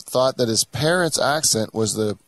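Deadpan man hosting a podcast. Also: mid-range voice, normal speed. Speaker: podcast host. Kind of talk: casual comedy chat.

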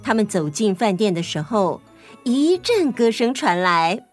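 一位女性为儿童故事做旁白，按稿讲述。. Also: female voice, mid-range voice, sing-song, a little slow. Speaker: children's story narrator. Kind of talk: scripted children's story narration. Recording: music behind the voice.

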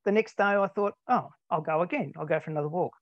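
A man's voice: high-pitched, fast talker, no echo. Older Australian man, guest, meandering and modest, dry muffled audio. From Tasmania, Australia. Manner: rambling, self-deprecating, many ums. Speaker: interview guest. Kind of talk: casual conversational storytelling. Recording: dull, dry lo-fi sound.

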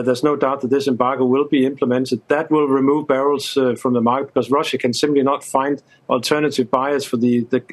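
Market analyst guest: man with average pitch, hesitant, word-repeating delivery in a live interview. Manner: halting, repeats words mid-sentence.

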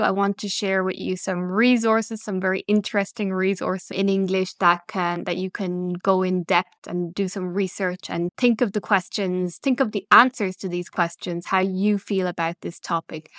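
Woman with a smooth dry voice. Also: medium pitch, a bit bright, no room sound. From Ireland. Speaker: English teacher and podcast host. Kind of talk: casual solo podcast chat.

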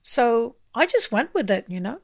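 A woman's voice: a little high, big pitch swings, normal speed, creaky, no echo, studio quality. A woman telling personal memories conversationally, leaning on key words as she goes. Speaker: interview guest, singer. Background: music.

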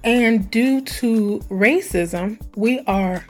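A woman hosting her own podcast, her voice hoarse and scratchy, talking in an animated, conversational way and drawing out words for emphasis. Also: on the high side, extremely slow, faint music behind. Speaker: podcast host. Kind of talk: solo podcast intro.

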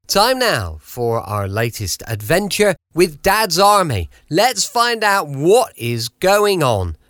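A man's voice: on the high side, fairly bright tone, no echo, clean studio sound. An English man hosting a podcast from home, chatting in a chirpy, informal way. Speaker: podcast host. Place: at home, dry close recording.

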